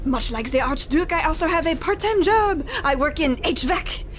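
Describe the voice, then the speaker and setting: A woman's voice: sits high, expressive, normal speed, a little hiss or hum. A woman playing a coquettish femme fatale opera singer, in an old-time radio comedy style. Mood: eager, conspiratorial. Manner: coquettish, theatrical.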